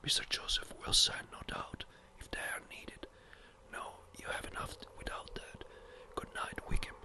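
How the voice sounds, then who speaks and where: Whisper-reader doing a brisk old rector. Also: lightly acted. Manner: brisk, a little impatient. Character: fretful elderly village rector, a man.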